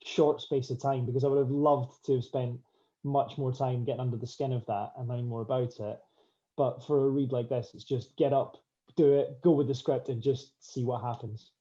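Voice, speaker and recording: A man with a very dark, mellow voice gives a considered answer as an actor in a Q&A. He feels his way through phrases, repeating and restarting them. The audio is dull and lo-fi. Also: mid-range voice, steady tone, normal speed. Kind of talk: thoughtful Q&A answer.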